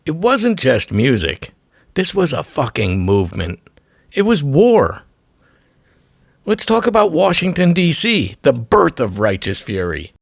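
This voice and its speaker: Gravelly male documentary narrator, animated and punchy. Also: fairly high pitch, measured pace, dry recording, studio quality. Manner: emphatic short punchy phrases. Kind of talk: scripted narration, punchy and profane.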